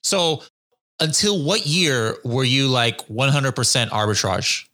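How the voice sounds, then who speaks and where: A man's voice: mid-range voice, slow pace, bright, forceful tone. A man hosting a podcast interview, friendly and chatty, who drops jokey asides between questions. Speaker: podcast host.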